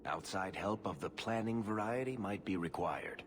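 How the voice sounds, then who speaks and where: A man's voice: fairly low pitch, moderate pace, close to an everyday voice. A man playing a wry, laid-back, wisecracking adventurer in a fantasy video game, with casual delivery. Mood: wry, teasing. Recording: game capture with clear background noise.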